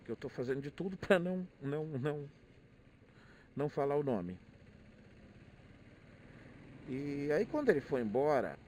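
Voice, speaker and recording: A man's voice: fairly high pitch, very animated, brisk, a little mellow, a little hiss or hum. A middle-aged man with a Brazilian accent, thinking aloud in a casual, hesitant monologue where he often repeats words. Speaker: motorcyclist vlogger. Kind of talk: casual rambling monologue, personal reflections.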